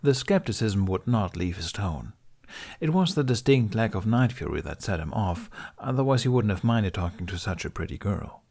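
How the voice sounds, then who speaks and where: A man reading an audiobook story as narrator, in expressive scripted narration. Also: lowish voice, normal speed.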